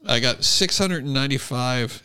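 A man hosting a conversational talk show, phrasing things deliberately with repeated starts and reading out comments. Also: medium pitch, sharp tone, clean tone. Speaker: podcast host.

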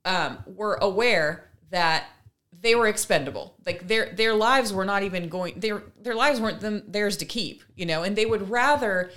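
A woman's voice: mid-range voice, normal speed, fairly bright tone. A woman co-hosting a casual discussion, earnest and emphatic, building her argument in long runs. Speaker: podcast co-host.

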